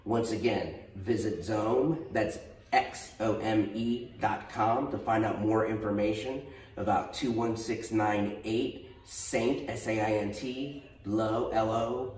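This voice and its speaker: American man, forties, realtor sales pitch, lowish pitch, roomy echo. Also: darkish tone. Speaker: real estate agent presenting a property. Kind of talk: informal sales pitch to camera. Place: bare stairwell room in an empty house. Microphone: camera a few feet away. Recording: echoey empty room, slightly distant mic.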